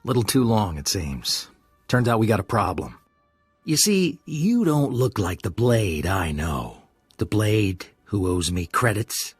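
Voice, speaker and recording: Husky, sly blackmailer. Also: male voice, fairly low pitch, very animated, measured pace, no room sound, soft background music, exaggerated voice. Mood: sly, menacing. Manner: mock-friendly, taunting. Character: sly, smug, menacing male criminal blackmailer. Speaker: game voice actor. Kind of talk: video game character dialogue.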